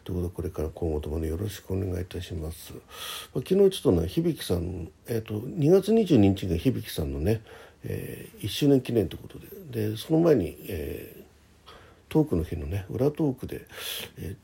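A man talks alone as the host of a casual radio-style show. His voice is low and very husky, with a gravelly creak running through it. He speaks conversationally and hesitantly, dropping in small asides of agreement with himself. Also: big pitch swings, normal speed, warm tone. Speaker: host of a personal audio talk show. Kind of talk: casual solo radio chat, reading listener messages.

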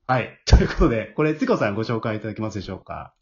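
A man's voice: on the low side, very animated, racing. A man hosting a casual chat show, using polite announcer-style phrasing and reading out messages. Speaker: host. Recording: muffled lo-fi audio with background noise.

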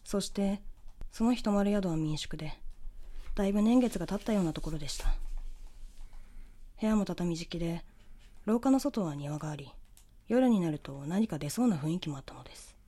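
A woman reading a scripted horror story in the first person, with measured storytelling delivery. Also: lowish voice, lively intonation, dark tone. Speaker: ghost story narrator.

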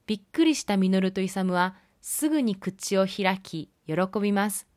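A woman's voice: medium pitch, lively intonation, measured pace, darkish tone, no echo. Woman narrating a film review, dry close sound. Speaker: film review podcast host.

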